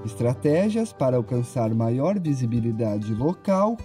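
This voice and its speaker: A man's voice: medium pitch, sing-song, unhurried, warm tone, soft background music. A young man delivering a scripted television news report. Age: young adult.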